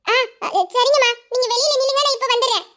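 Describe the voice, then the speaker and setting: Very high, cutesy little girl. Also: quick pace, a bit bright. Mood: eager. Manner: cutesy, childlike, eager. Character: sweet, affectionate little daughter, small child. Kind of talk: melodramatic Tamil audio drama.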